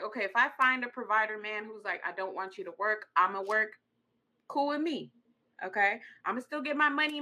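Casting: woman from Congo, podcast co-host, forceful bright tone, animated colloquial chat. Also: on the high side. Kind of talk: casual relationship discussion.

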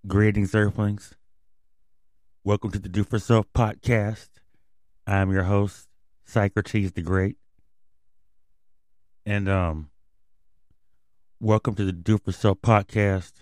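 A man's voice: deep voice, darkish tone, no room sound, studio quality. A man hosting his own podcast, reading out news stories in a loose, blunt, conversational way under a grand on-air persona. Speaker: podcast host. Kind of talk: casual podcast news talk.

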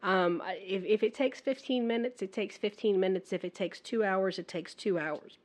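A woman hosting a casual podcast interview, husky as she recovers from the flu, talking in a chatty, halting way with many restarts. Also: medium pitch. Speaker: podcast host.

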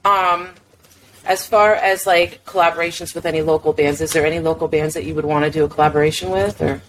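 A woman's voice: low voice, steady tone, fairly quick, slight background noise, rough recording. A woman hosting a music podcast, chatty and upbeat as she interviews a guest. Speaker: podcast host.

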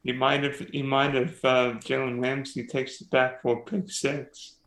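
A man's voice: mid-range voice, little pitch movement, measured pace, fairly bright tone. A man hosting a casual sports podcast, teasing and quizzing his guest. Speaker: podcast host. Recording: roomy echo.

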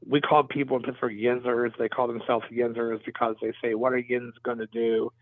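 A man's voice: mid-range voice, flat delivery, brisk, no room sound. A man with a Mid-Atlantic accent, a guest on a podcast, talking in a rambling way and correcting himself as he goes. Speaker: guest, event organizer. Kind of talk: casual podcast interview. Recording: dry tinny phone-line sound.

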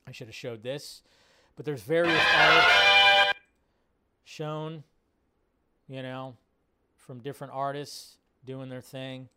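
A man's voice: medium pitch, level tone, takes it slowly, long pauses, over music. A man hosting a livestream, giving casual, enthusiastic and exclamatory reaction commentary in an informal way. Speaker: livestream host.

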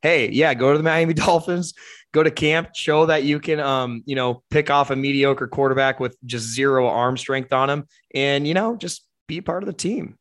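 A young man co-hosting a casual sports podcast, animated and slangy, his voice a bit worn. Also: mid-range voice, normal speed. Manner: animated, laughs often, slangy. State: voice partly lost. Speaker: podcast co-host.

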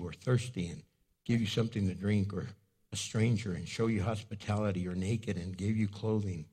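A male pastor whose first language was Spanish, preaching a sermon in a storytelling way that jumps into loud, high bursts. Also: husky.

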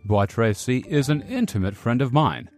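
A man's voice: fairly high pitch, huge pitch swings, moderate pace, a little mellow, faint music behind, voice pushed up. A man voicing a royal guardsman who speaks with pompous, affected seriousness, in a dramatized storybook reading. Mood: mock serious.

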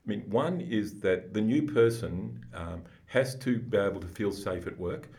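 A man with a booming voice, speaking as a psychologist guest, explaining things slowly and deliberately. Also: lively intonation, warm tone. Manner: slow, deliberate, explanatory, with restarts. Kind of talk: calm, measured podcast conversation.

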